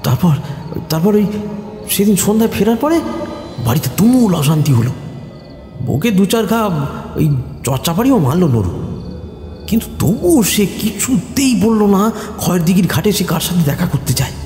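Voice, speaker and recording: An actor playing a rustic young husband who tells village ghost tales in a nervous, halting, folksy way. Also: male voice, high voice, very animated, mellow. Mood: hushed, uneasy storytelling. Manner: hesitant, halting, folksy storytelling with fillers. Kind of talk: Bengali audio horror story acting. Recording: echoey reverb with music underneath.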